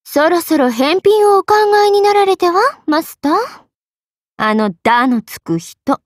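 A woman's voice: very high-pitched, animated, a little slow, no room sound, studio quality. An actress voicing a playful, money-minded half-spirit young queen in a Japanese mobile game, coy and polite with a regal side. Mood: troubled, polite. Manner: coy, polite, sweetly drawling, sometimes solemn. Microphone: close studio microphone.

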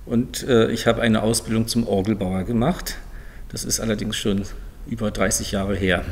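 A man's voice: on the low side, fairly even intonation, normal speed, a little hiss or hum. A man in his fifties from Berlin, explaining in a matter-of-fact way as an organ builder showing his work. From Berlin, Germany. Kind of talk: informal explanatory demonstration to camera. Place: large museum hall, indoors. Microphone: lapel mic. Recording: long reverb of a large hall.

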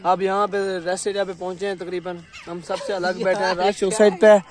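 A man's voice: high voice, little pitch movement, a little fast, over a noisy crowd. A young man with a Pakistani Urdu accent, chatting casually to the camera as he climbs a wooded hill trail, recorded on a handheld phone outdoors. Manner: chatty, walking and climbing while talking. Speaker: vlogger. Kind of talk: casual hiking vlog narration.

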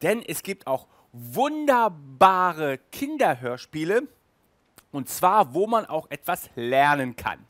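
Man, middle-aged, enthusiastic chatty show host, slightly high pitch, dry close headset sound. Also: very animated, takes it slowly, a bit bright, no room sound. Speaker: host of an audio drama review show. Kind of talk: casual review to camera. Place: small furnished room indoors, on a couch.

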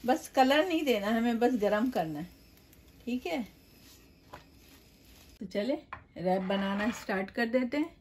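A woman's voice: on the high side, a little mellow. A middle-aged woman hosting a cooking channel, talking viewers through a recipe step by step in a chatty, direct way from her home kitchen.

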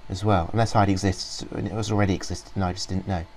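A man's voice: sits low, little pitch movement, fast, darkish tone, slight background noise. A man rambling through a casual walkthrough of a program he is reviewing, full of ums and restarts. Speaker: software reviewer. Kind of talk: casual screen-recorded software walkthrough.

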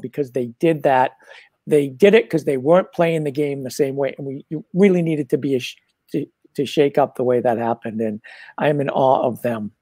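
A man's voice: fairly high pitch, a little mellow, no room sound. A middle-aged man speaking earnestly and emphatically as a guest in a political discussion over a video call. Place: home room with bookshelves. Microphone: video call with earbuds.

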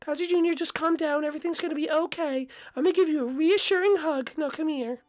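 Woman playing a high soothing mother. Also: quick pace, a little mellow, lightly acted. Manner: soothing, encouraging. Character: caring gentle mother of the prince.

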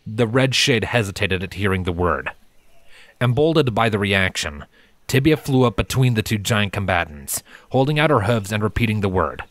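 Low-voiced man narrating a story. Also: animated, moderate pace, no room sound. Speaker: fan fiction narrator. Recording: music bed behind narration.

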